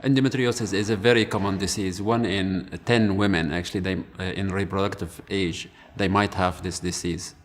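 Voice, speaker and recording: Man, doctor explaining, low voice, halting, earnest. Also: fairly even intonation. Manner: earnest, halting, many fillers. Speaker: medical specialist. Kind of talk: explanatory interview talk.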